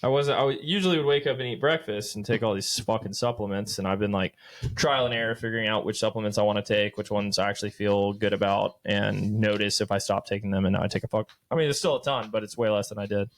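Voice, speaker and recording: A man's voice: fairly low pitch, steady tone, fast. A man in his late twenties, co-hosting a podcast, telling rambling stories in loose, profane casual chat. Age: late 20s. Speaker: podcast co-host.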